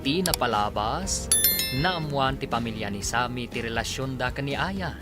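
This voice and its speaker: A man announcing and narrating a radio drama in a drawn-out, formal broadcast style. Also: mid-range voice, lively intonation. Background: music.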